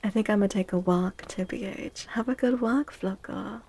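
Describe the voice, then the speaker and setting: A woman streamer chatting softly and drowsily in a very chill ASMR style, often dropping into a whisper. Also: medium pitch, moderate pace. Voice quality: soft, breathy. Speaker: live stream host. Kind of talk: soft-spoken ASMR chat with stream viewers.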